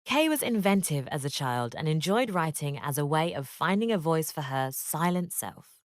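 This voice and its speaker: A woman giving scripted educational narration in a measured, expressive read-aloud manner. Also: low voice, no echo, clean studio sound.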